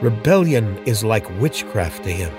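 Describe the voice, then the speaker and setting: A man's voice: on the low side, big pitch swings, measured pace, music in the background. A man voicing a stern, unwavering old prophet who rebukes a king with firm, solemn pronouncements. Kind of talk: storybook drama reading.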